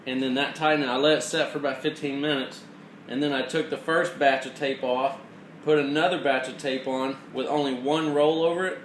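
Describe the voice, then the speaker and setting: A man's voice: medium pitch, fairly even intonation, brisk. Man giving an echoey hands-on tutorial. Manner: plainspoken, step-by-step explaining. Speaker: DIY mechanic giving a tutorial. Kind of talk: informal hands-on demonstration. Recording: roomy echo, clear background noise.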